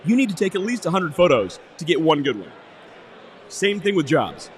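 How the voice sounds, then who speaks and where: Hoarse, lively podcast co-host man. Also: fairly high pitch, normal speed, a little hiss or hum. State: hoarse, voice going out. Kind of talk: casual business podcast chat. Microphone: cheap soundboard.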